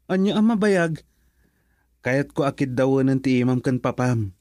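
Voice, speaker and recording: A man's voice: mid-range voice, expressive, normal speed, a little mellow, no echo, lightly acted. A man with a Filipino accent acting in a radio drama as an earnest son in love, eager to marry. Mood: affectionate, teasing. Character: adult son in love, eager to marry, reassuring his mother.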